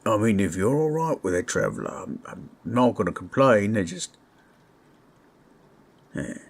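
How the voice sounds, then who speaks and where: A man playing a gruff, protective, steady adventurer in a fantasy roleplay, speaking tersely but reassuringly. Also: fairly low pitch, a little slow, heavily acted. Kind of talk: fantasy roleplay audio acting. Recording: storm noise behind. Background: rain and thunder.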